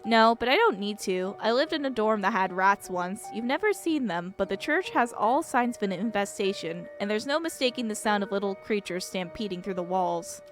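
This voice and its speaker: An earnest young father, played by a woman in a game story, talks in a measured, sincere way, as if confiding in a friend. He sounds gentle and devout, at an ordinary conversational pitch for her voice, with music in the recording. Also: animated, lightly acted. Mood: worried. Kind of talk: acted reading of visual novel dialogue.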